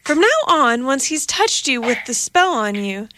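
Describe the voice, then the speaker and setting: Fierce mother cat, scolding and menacing, high and sharp, read by a woman. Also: sing-song, a little slow, noisy background.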